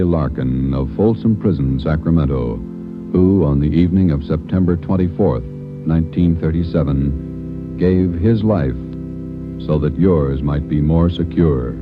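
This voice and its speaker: American male announcer, extremely low and solemn, deliberate scripted delivery, tinny old radio sound. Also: music in the background. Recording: tinny, narrow-band 1940s radio recording.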